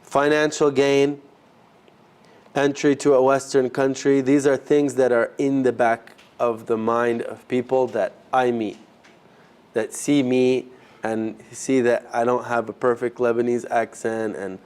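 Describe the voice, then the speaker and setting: Male ministry trainer, mid-pitched, informal classroom delivery, halting and conversational. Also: level tone, a little mellow, clear, resonant tone. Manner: conversational, halting, rephrasing as he goes. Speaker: ministry trainer teaching a group. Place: live session with a group present.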